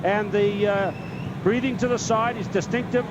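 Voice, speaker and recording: A man calling a swimming race live with excitement, on an old sports broadcast. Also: high voice, moderate pace, lo-fi sound. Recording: very noisy archive sports broadcast.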